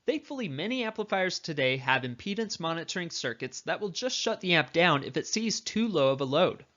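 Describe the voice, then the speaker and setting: A man's voice: fairly high pitch, big pitch swings, a little fast, fairly bright tone, highs cut off. A man reading scripted tutorial narration as an instructor, explaining things in an instructional way.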